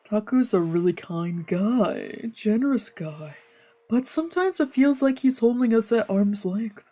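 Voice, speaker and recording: A woman putting on a fussy, excitable young guy. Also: fairly high pitch, unhurried. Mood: concerned. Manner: expressive, scolding, gushing. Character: fussy, excitable, sweet-toothed, foul-mouthed young male friend. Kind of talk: live dubbing of a visual novel.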